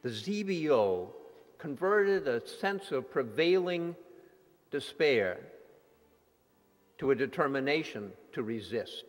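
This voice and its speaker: A man reading scripted dramatic narration in a solemn, measured manner. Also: mid-range voice, very animated. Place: large sanctuary hall before an audience. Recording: echoey hall with music behind.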